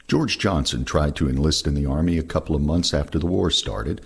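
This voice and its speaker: Older guy, super low n creaky, reading out veterans war stories. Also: brisk. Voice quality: gravelly, husky. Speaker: reader of veterans' oral histories. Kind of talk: reading first-person war recollections aloud.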